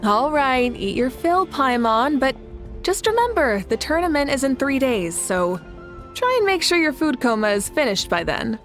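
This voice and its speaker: A woman's voice: high-pitched, big pitch swings, normal speed, fairly bright tone. An actress playing a confident, playful young woman leader in a video game, with an assured, teasing manner. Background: game music.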